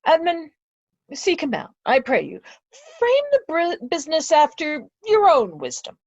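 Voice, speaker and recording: A woman's voice: sits high, very animated, a little slow, darkish tone, no echo, muffled recording, exaggerated voice. A woman playing an aging, credulous earl and easily outraged father in a theatrical Shakespearean drama reading. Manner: theatrical, exclamatory.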